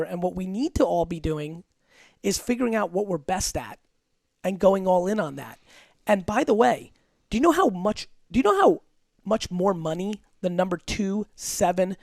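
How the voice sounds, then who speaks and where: A man as a guest on an interview podcast, talking about business in a blunt, emphatic, rapid-fire way with some profanity. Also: high-pitched, gravelly, a little mellow, no echo. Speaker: entrepreneur guest.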